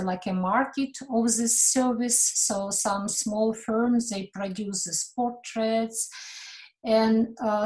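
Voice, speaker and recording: Slow, halting woman with a tongue. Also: medium pitch, a little mellow, rough recording. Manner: slow, halting, many fillers. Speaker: panelist. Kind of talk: webinar panel discussion.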